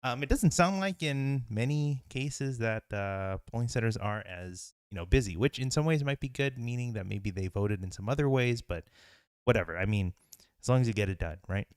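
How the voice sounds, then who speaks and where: A man's voice: medium pitch, expressive, moderate pace, clear, resonant tone, dry recording, clean studio sound. A man co-hosting a podcast in casual chat, rambling with lots of fillers and restarts. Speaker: podcast co-host.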